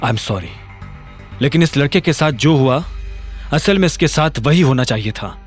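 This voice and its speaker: A man's voice: medium pitch, animated, moderate pace, slightly rough, faint music behind. A man playing a defensive, stubborn young son-in-law in an audio drama, earnest and indignant. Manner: earnest, indignant self-justifying. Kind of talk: Hindi audio drama acting.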